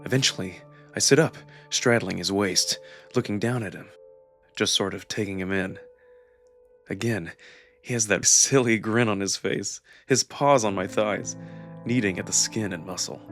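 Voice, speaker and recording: A man with a low voice narrates a visual novel in the first person. His storytelling is intimate and expressive, with music playing underneath. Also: normal speed.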